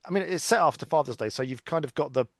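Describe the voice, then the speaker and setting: A man with a British accent gives casual, analytical commentary as a podcast guest. His voice sits a little high. The sound is dry and free of room echo. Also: fast talker, creaky, fairly bright tone. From Britain.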